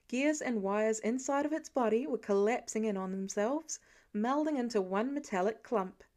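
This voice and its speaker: A woman narrates scripted fiction in a fairly high voice. Her delivery is expressive, leaning on key words with a dramatic, wry touch.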